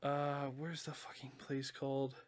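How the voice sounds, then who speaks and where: A man's voice: mid-range voice, flat delivery, a little slow. A man co-hosting a casual podcast, talking bluntly and swearing often. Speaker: podcast co-host.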